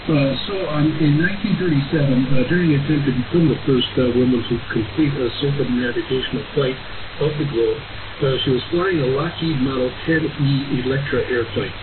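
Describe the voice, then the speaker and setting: Halting male host over hissy shortwave radio. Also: medium pitch. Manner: halting, very frequent filler sounds and repetitions. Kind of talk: loosely scripted radio monologue, announcements. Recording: narrow, hissy shortwave radio broadcast reception. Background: clear static hiss.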